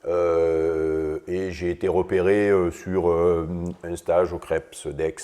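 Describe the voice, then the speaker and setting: A man's voice: deep voice, flat delivery, takes it slowly, dark tone. A man, chatting casually in an interview, rambling with lots of hesitant fillers and dry self-mocking humor. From Vaucluse, southern France. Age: 60s. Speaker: guest, former footballer.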